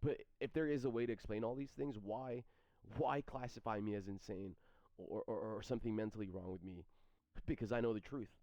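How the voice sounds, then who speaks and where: Man, thirties, low voice, halting stream chatter full of restarts; dry, dull home-mic sound. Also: steady tone, warm tone, no room sound. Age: 30s. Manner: halting, repeats words and restarts often. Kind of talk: rambling stream chat answering chat questions.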